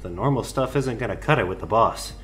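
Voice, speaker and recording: A man's voice: on the low side, expressive, fairly quick, fairly bright tone, slight background noise. A man playing an obedient henchman robber in an audio drama. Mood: dutiful, muttering.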